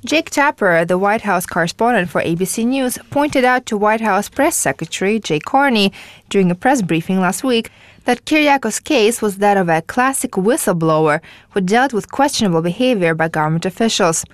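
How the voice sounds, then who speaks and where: A female newsreader delivering a radio news report in a measured, formal way. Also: mid-range voice, animated, no echo.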